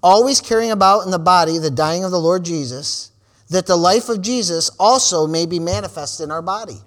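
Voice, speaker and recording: A man in his forties preaching a sermon, calling out "amen" and "praise the Lord" between lines and building to shouted, repeated refrains. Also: high voice, brisk. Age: 40s. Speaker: pastor.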